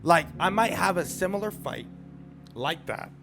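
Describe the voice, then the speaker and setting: A man giving a casual, animated opinion vlog and repeating phrases for emphasis, with music playing behind him. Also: high-pitched, measured pace. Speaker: sports commentary YouTuber.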